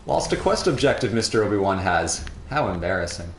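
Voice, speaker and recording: A young man chatting casually and a little haltingly as he hosts a gaming livestream. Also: on the low side, very animated. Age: young adult. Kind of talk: casual chat while playing a game. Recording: roomy echo, faint background noise.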